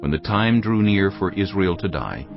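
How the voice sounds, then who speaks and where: Very deep, gravelly male narrator. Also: lively intonation, normal speed. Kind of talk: dramatized scripture narration. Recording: dull lo-fi audio. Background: faint music.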